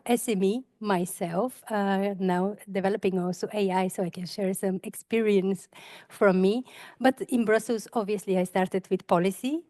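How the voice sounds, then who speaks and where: A woman's voice: mid-range voice, mellow, no echo. Woman from bulgaria introducing herself on a panel, dry close sound, some ums. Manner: fluent, with frequent "uh" and "um".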